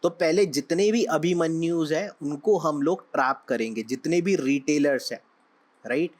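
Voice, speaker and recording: Slightly hoarse man teaching trading. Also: a little high, moderate pace. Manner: conversational Hinglish teaching, keeps checking in with listeners. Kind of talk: explaining lesson with analogies.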